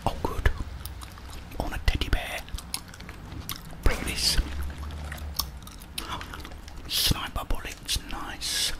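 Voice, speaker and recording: A young man hosting an ASMR gaming video, muttering casual commentary to himself in a whisper as he plays. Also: slow.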